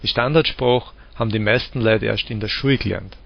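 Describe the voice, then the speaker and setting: A man with an Austrian accent from the Vienna area, calmly explaining dialects and giving spoken examples. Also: lowish voice, fairly quick, creaky. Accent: Austrian German, Lower Austria/Vienna. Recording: dull lo-fi recording.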